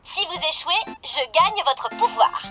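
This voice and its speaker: A sly high-voiced game villainess. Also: female voice, lively intonation, unhurried. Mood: sly taunting. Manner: sly, taunting. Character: scheming female video-game villain, sly and teasing. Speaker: villain in a children's cartoon. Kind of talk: French cartoon dub. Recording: studio dub over loud kids' music.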